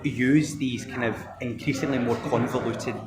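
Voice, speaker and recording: A man's voice: mid-range voice, steady tone, normal speed, a crowd in the background. A man talking in a casual, rambling conversation, often trailing into laughter.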